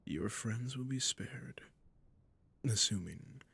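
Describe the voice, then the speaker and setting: A man playing a sadistic, possessive vampire lord in intimate roleplay audio, with a breathy, gravelly near-whisper and silky, mock-courteous, condescending endearments. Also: mid-range voice, slow pace, a bit bright, exaggerated voice. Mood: menacing, courteous.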